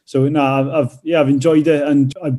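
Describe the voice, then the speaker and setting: Welsh rugby player, dark flat voice. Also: male voice, a little high, normal speed. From North Wales. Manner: hesitant, many fillers and restarts. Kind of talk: casual interview answers.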